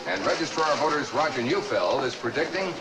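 A man's voice: mid-range voice, lively intonation, fairly quick, close to an everyday voice. An actor playing a formal male television news reporter giving an on-location election report in a drama. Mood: formal, factual. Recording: roomy echo, music behind.

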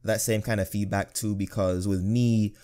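A young man in a casual discussion, with a lot of bass that makes him sound firm. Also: steady tone, moderate pace, dark tone. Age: young adult. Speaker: guest reviewer.

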